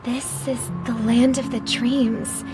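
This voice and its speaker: Gentle thoughtful game girl, high voice, troubled n sincere, big music underneath. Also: level tone, slow, crowd noise. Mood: troubled, earnest. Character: gentle, earnest young woman, troubled and questioning. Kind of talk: video game voice acting. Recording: loud game music behind the voice.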